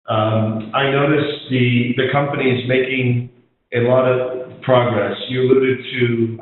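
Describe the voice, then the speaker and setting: Male conference call questioner, polite and hesitant, thin telephone audio with strong room echo. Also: mid-range voice. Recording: very echoey, tinny phone line.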